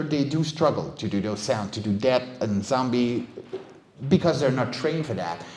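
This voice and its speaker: A middle-aged man, a native French speaker, giving an informal talk as a guest speaker and voice coach. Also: on the high side. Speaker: guest speaker, voice director and vocal stunt coach. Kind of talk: casual conference talk. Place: indoor game developer meetup venue. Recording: roomy, echoing event space.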